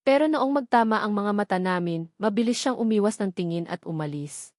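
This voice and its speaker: A woman's voice: mid-range voice, lively intonation, fairly quick, a little mellow, dry recording, studio quality. A woman with a Filipino accent reading a scripted first-person romance story as its storyteller.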